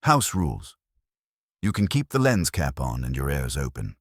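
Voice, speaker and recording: Man playing deep, wry detective's assistant. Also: sing-song, moderate pace, no room sound, full character voice. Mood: firm, dry. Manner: dry, witty, firm.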